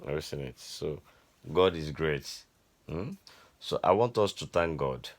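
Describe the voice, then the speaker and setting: Very low-voiced older Nigerian man teaching. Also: lively intonation, a little slow. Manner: repetitive, exhorting. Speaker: grandfather teaching a Bible story. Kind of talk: informal family Bible teaching.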